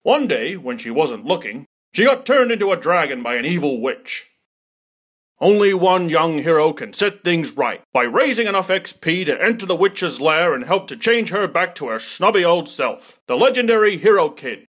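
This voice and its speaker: A man's voice: fairly high pitch, big pitch swings, normal speed, fairly bright tone, breathy edge, heavily acted. A man acting as a theatrical, wry storybook narrator for a cartoon game quest. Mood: grand. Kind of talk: dramatic scripted cartoon narration.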